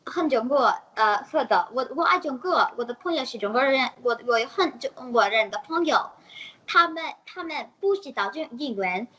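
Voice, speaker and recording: A teenage girl from the United States talks in a fairly high voice, halting now and then as she hunts for the right word. She chats casually in an interview and giggles between phrases. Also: lively intonation, sharp tone, breathy edge, rough recording. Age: teens. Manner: halting, searching for words, giggly. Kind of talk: casual multilingual chat.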